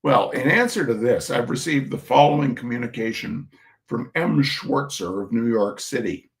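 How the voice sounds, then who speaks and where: A man's voice: medium pitch, animated, normal speed, creaky. A man hosting a reading of humorous essays, theatrical and emphatic, with mock indignation and chatty asides.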